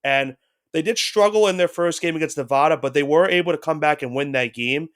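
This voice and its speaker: A man's voice: fairly high pitch, a little fast, a bit bright. A man co-hosting a sports podcast with a head cold, talking casually and loosely, with frequent restarts. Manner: rambling, with restarts and hedges. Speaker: podcast co-host.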